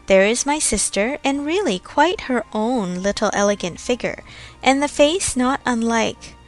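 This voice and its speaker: A woman's voice: a little high, lively intonation, a little slow, soft background music, low-quality recording. A woman voicing a genteel, chatty, self-satisfied young lady of Regency society in a storybook reading. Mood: chatty, fond.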